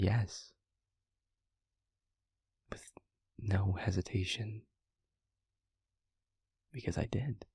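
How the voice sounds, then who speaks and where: A man playing a gentle, reflective demon mentor in an intimate audio roleplay, speaking softly and haltingly in a low, breathy, gravelly voice. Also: dry recording, studio quality, full character voice. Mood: reflective, earnest. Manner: halting, intimate, soft-spoken with long pauses. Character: gentle reflective male demon steward, fondly confiding.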